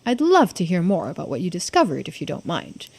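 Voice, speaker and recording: A woman voicing a polite, grave man in a mystery game, speaking formally and with measured courtesy. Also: lowish voice, huge pitch swings, vocal fry, a little mellow, breathy edge, voice pushed down, heavily acted.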